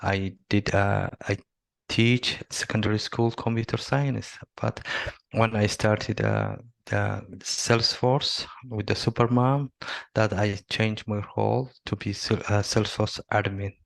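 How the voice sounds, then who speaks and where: A middle-aged man from Somalia, not a native English speaker, answering interview questions haltingly with many fillers and restarts. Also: fairly low pitch, steady tone, creaky, dry recording. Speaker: interviewee, Salesforce admin. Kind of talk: video interview.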